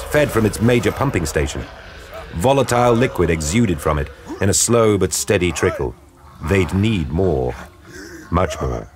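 A man giving a grave, measured, dramatic narration for an audio drama. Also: lowish voice, noisy background. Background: dramatic music.

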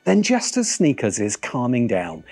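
Casting: middle-aged male essayist, wry and emphatic, animated pitch, music underneath. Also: a little slow. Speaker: video essay host. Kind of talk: film commentary video essay. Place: open-air veranda.

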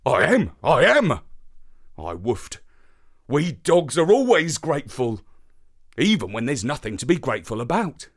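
A man voicing a good-natured space dog who narrates a children's bedtime story in a wry, expressive way. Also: mid-range voice, unhurried, pauses often, husky, full character voice. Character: good-natured male space-dog telling his own tale.

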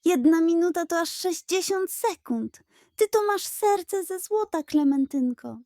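A woman's voice: very high voice, expressive, a little slow, dark tone, dry recording, studio quality, pitched above the performer's own voice, exaggerated voice. A woman voicing a gluttonous, theatrical pug dog who pleads and sweet-talks in a children's audio tale. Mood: flattering. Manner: pleading, dramatic, sweet-talking.

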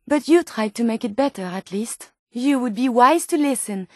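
A woman's voice: fairly high pitch, animated, normal speed, darkish tone, no room sound, clean studio sound. An actress playing an earnest, curious female medical robot turned human in a video game, with a French accent and precise, formal diction. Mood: stern, reproachful. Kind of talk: video game character dialogue.

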